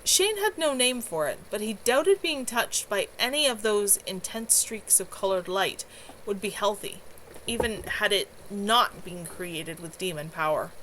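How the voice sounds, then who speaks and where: A woman reads a fantasy story aloud as its author, in an unpolished amateur narration. Her pitch sits in the middle range for a woman. The home livestream recording carries clear background noise. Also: lively intonation, measured pace, sharp tone, rough recording. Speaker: author reading own novel aloud.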